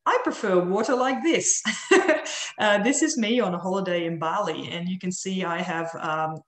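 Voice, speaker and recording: A woman's voice: fairly low pitch, moderate pace, roomy sound. A woman with a Dutch accent, a marine biologist giving a friendly online presentation over a video call. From Netherlands. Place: home room on video call. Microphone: video call audio.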